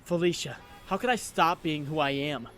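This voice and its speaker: Guy doing a young superhero in a comic dub, kinda high voice, earnest and dramatic, music pretty loud behind him. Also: moderate pace, natural voice. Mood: conflicted, musing. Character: earnest young male superhero, lovestruck and torn about identity.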